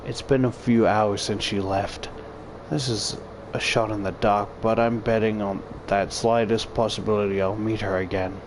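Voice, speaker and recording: A man's voice: fairly low pitch, fairly even intonation, moderate pace, slight background noise. A man reading a visual novel aloud as a YouTuber, stumbling now and then and adding casual commentary. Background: game music.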